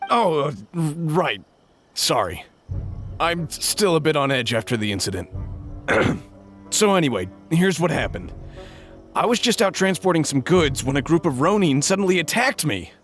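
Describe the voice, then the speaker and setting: An actor voicing a nervous, stammering middle-aged merchant in a game, still shaken after being robbed. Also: male voice, on the high side, sing-song, moderate pace, fairly bright tone. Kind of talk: game voice acting. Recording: game audio with clear music.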